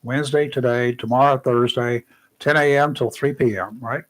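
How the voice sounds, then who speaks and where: A man's voice: medium pitch, fairly even intonation, normal speed, a bit bright. A man, hosting a local radio talk show in a folksy, chatty way. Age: 60s. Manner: folksy, chatty, repeats himself. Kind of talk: casual radio chat. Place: radio studio.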